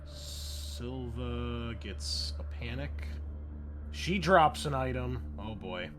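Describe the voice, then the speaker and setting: A man's voice: medium pitch, expressive, extremely slow. Casual man game mastering over background music. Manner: conversational, thinking aloud. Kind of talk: running an online tabletop game, casual.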